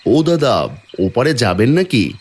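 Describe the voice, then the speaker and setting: Man playing an animated, anxious stranded traveller. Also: medium pitch, a little slow, husky. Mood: worried.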